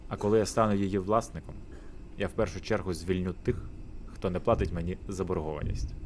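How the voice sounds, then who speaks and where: A man's voice: sits low, fairly even intonation, fast, a little hiss or hum, lightly acted. A man voicing a haughty, mocking landlord in a theatrical visual novel, pompous and condescending.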